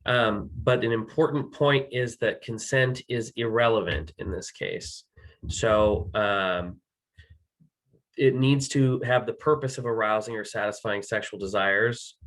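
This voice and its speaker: A man with an American accent presenting a legal training, slightly hoarse and losing his voice, talking haltingly with many ums and self-corrections. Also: on the low side, a bit bright, poor audio. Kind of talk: slide-based legal training.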